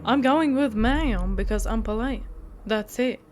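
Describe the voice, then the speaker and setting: Slow-talking, high-voiced sassy woman gamer. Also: darkish tone, slight background noise. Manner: sassy, mock-indignant, joking asides. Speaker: host of a visual novel walkthrough channel. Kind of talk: playful gameplay commentary with reading aloud. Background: music.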